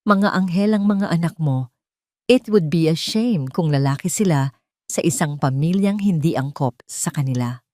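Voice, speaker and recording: A woman voicing a sweetly condescending rich young woman in an audio story, gentle and sugary, mixing English into Tagalog. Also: low voice, animated, moderate pace, no room sound, clean studio sound. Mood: veiled threat.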